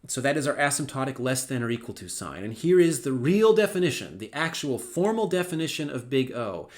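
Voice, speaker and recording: A middle-aged man lecturing at a mid pitch for a man, in an easy conversational tone. He drops in dry, wry asides and often stops to restart a phrase with a filler. The delivery is relaxed and thinks aloud. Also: a little fast. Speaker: university lecturer. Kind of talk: recorded lecture.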